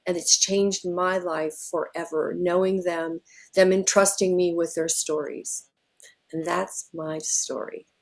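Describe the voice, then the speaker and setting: A woman's voice: on the low side, flat delivery, measured pace, poor audio. A woman from Wyoming, telling her life story on a video call in a reflective, heartfelt way. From Wyoming, USA. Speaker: guest, graphic designer. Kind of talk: personal storytelling.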